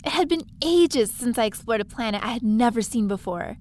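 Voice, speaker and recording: A woman's voice: a little high, expressive, fairly quick, a little mellow, a little hiss or hum, close to an everyday voice. An actress playing a chatty, curious young woman stowaway who narrates and talks through her space adventure in an audio drama. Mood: wistful narration. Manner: chatty, breezy, eager. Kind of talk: first-person audio drama narration and dialogue.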